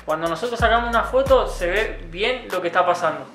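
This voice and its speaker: A young man, a barber calmly explaining a haircut step by step in a small barbershop. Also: high-pitched, fairly quick. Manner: calm, instructive, repeats steps patiently. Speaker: barber instructor. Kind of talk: step-by-step tutorial narration. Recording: roomy sound with music behind. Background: background music and clipper buzz.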